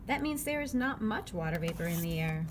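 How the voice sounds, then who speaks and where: A woman reading a children's picture book aloud, phrasing it clearly and simply for young listeners. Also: on the low side, animated, fairly quick. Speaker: read-aloud narrator. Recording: clearly noisy background ambience.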